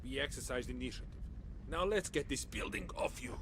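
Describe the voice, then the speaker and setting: A man's voice: mid-range voice, expressive, a little fast, close to an everyday voice. An actor playing a loyal, earnest young Soviet soldier who speaks respectfully to his officer in a game cutscene. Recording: battle noise behind.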